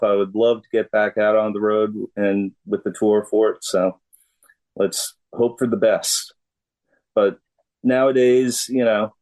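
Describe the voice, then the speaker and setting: A man's voice: on the low side, a little mellow. A middle-aged American man, a musician chatting in a laid-back, plain-spoken way as an interview guest. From United States. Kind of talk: casual interview conversation.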